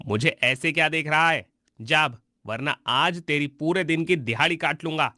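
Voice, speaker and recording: A man's voice: on the high side, moderate pace, bright, forceful tone, no echo, studio quality. A man voicing a cruel, hot-tempered landlord who scolds his servant in a harsh, domineering way in a cartoon story. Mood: stern, bullying. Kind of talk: Hindi cartoon story voice acting.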